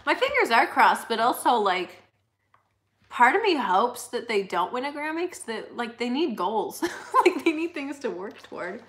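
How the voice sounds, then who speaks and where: This is a young woman with a high, slightly squeaky voice, talking casually on a livestream. She chats loosely, reacts to comments, and laughs easily. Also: sing-song, moderate pace, a bit bright. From United States. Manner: chatty, laughs often, reads out comments. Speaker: livestream host.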